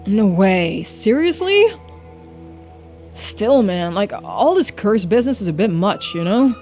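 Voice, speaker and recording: A woman's voice: medium pitch, big pitch swings, slow pace, dark tone, soft background music. A woman voicing a frank, loyal young sidekick in a video game, with a casual, emphatic, questioning manner. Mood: incredulous.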